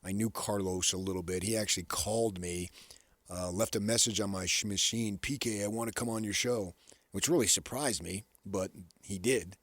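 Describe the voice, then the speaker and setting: American male sports radio co-host, deep register, conversational and halting delivery, dry studio sound. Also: a bit bright, dry recording. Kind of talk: casual sports talk commentary.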